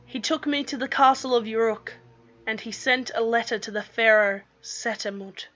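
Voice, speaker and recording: Female story narrator, higher-pitched, dramatic and unhurried, dull lo-fi recording over music. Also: a bit bright. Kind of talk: scripted first-person story narration. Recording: dull lo-fi microphone sound.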